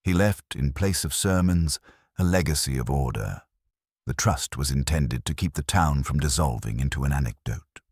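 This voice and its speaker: A man's voice: very deep voice, animated, moderate pace, breathy edge, no echo, studio quality, full character voice. A man voicing a prim, imperious older English widow who speaks in precise, formal aphorisms for an audio mystery drama. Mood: prim, composed.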